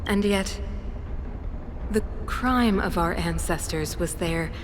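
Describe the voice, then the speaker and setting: A coldly fanatical female ruler in a game drama. Her delivery is slow, stately and portentous, ringing with long reverb over noisy ambience. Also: fairly low pitch. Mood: fervent, fanatical. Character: solemn, imperious mother and ruler, coldly fanatical. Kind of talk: dramatic video game voice acting.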